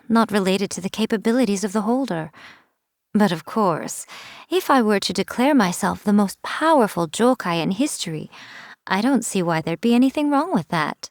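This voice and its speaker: A woman's voice: medium pitch, expressive, moderate pace, dry recording, studio quality, natural voice. A woman voicing a sly, teasing fox-spirit shrine priestess, speaking in a playful, wry, mocking tone. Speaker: game character. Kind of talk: scripted game voice lines.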